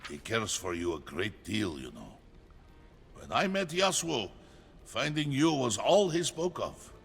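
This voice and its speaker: A man's voice: mid-range voice, big pitch swings, unhurried, gravelly, fairly bright tone, a little hiss or hum, exaggerated voice. A man playing a big-hearted giant warrior in a fantasy game, jovial and kindly in a grand way. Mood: caring, reassuring. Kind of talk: cinematic fantasy game acting.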